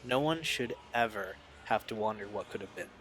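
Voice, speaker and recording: Guy acting troubled young man in an audio drama, lowish voice, earnest n halting like a confession, music under it. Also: animated, a bit bright. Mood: quiet, resolute. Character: Steve, troubled young man recalling a painful family past.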